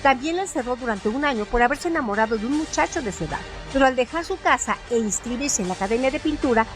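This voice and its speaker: A woman hosting a radio show, reading a scripted literary analysis in a measured, formal way. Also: sits high, animated. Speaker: radio host. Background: music bed.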